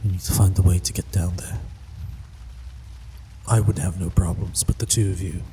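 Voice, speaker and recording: A man's voice: deep voice, little pitch movement, moderate pace, warm tone, big-room sound, slight background noise, low-quality recording. A man playing a tabletop roleplaying game, conversationally describing what his character does.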